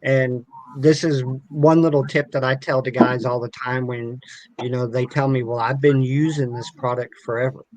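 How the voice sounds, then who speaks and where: A man's voice: mid-range voice, steady tone, moderate pace, a little mellow, rough recording. A man talking as a podcast guest, giving advice in an earnest, explanatory, conversational way. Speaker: podcast guest, club organiser.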